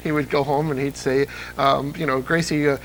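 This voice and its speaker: Over a faint hum and some noise, a man with a creaky, gravelly voice talks as a guest in a relaxed interview. He tells anecdotes conversationally and slips into quoted dialogue as he goes. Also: mid-range voice, moderate pace, low-quality recording. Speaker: interview guest, old-time radio fan. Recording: audible background noise and hum.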